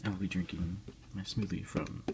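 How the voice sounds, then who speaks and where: Low gravelly guy doing quiet asmr unboxing chat, half whispering, noisy recording with clicks. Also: fairly even intonation, normal speed. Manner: hushed, often slipping into whispers. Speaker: unboxing video host. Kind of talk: soft-spoken ASMR, casual unboxing chat.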